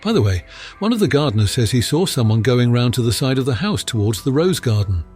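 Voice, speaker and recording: A man's voice: mid-range voice, very animated, a little fast, darkish tone, faint music behind, pitched above the performer's own voice, close to an everyday voice. A man voicing a blunt, confident Scotland Yard inspector in an audiobook, speaking in a matter-of-fact, colloquial way. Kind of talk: acted audiobook dialogue.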